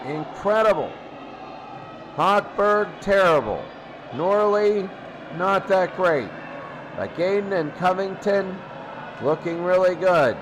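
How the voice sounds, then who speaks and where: High-pitched man, slow clipped sports commentary, noisy. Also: lively intonation. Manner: clipped fragments with pauses. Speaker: sports studio host. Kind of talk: off-the-cuff college football score commentary. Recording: clearly noisy background.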